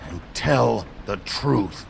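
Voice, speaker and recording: An actor playing a gruff, suspicious investigator in a video game, terse and demanding, with a gravelly voice. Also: male voice, lowish voice, lively intonation, extremely slow, slight background noise, exaggerated voice.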